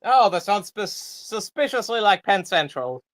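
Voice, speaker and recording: A man's voice: high voice, big pitch swings, unhurried, a bit bright. A man hosting a casual livestream, joking and rambling with plenty of fillers. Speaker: livestream host.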